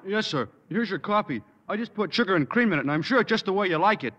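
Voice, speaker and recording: An actor playing a dim-witted, good-natured teenage schoolboy in a 1950s radio sitcom, earnest and fumbling. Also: male voice, sits high, lively intonation, fast talker, a bit bright, lo-fi sound. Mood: dopey. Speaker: student.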